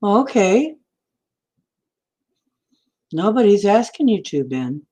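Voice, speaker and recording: A woman's voice: mid-range voice, very animated, takes it slowly, dark tone, breathy edge. A woman in her sixties chatting in a meandering way on a livestream, answering viewer comments as she goes. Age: 60s. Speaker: livestream host. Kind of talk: rambling livestream chat.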